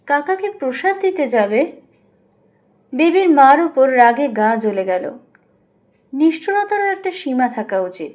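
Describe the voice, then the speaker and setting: A woman with a high voice reads a scripted literary story. She narrates expressively, as an audiobook storyteller does. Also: very animated, a little slow, pauses often, darkish tone. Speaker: audiobook reader.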